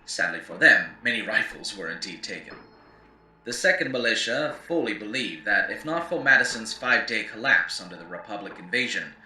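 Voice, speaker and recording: An actor playing a grave, eloquent chronicler of a ruined world, narrating lore in formal, theatrical oratory for an audio drama. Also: male voice, lowish voice, pushed and bright, roomy sound, far from the mic, rough recording. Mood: scornful, emphatic. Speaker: narrator and host. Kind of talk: scripted in-character lore narration for an audio series.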